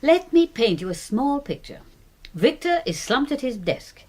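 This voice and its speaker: A woman doctor turned sleuth in a radio whodunit. Her voice sits on the high side and moves expressively. She is measured and articulate, with a dry, composed wit. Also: very animated, darkish tone, exaggerated voice. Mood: dryly arch. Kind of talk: British radio mystery drama acting.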